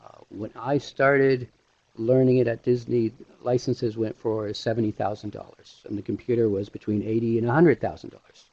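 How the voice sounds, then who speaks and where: Muffled, gravelly man presenting online. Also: mid-range voice, level tone, moderate pace, darkish tone, no room sound. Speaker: animation instructor hosting a webinar. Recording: dull, lo-fi webinar audio.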